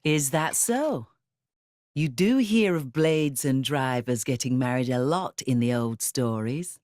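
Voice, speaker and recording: A woman playing a kindly, motherly older village guardian in a video game, gently confiding in a folksy way. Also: extremely low pitch, animated, slow pace, no echo, clean studio sound, exaggerated voice. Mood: fond, teasing. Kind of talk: video game cutscene acting. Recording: music behind the voice. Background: loud instrumental music.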